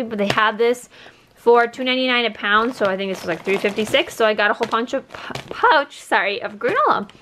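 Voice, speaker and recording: Woman in her thirties, highish voice, casual rambling vlog chat, indoors with noticeable noise. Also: fairly bright tone. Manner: rambling, conversational. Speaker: vlogger. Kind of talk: casual chatty haul video talk. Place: indoors at home by a wooden table.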